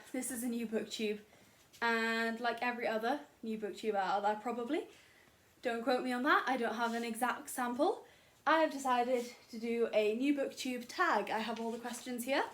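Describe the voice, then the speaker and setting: A young woman chatting casually to camera about books in her bedroom, rambling and self-deprecating with lots of fillers. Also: a little high. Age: young adult. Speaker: booktuber. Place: bedroom at home.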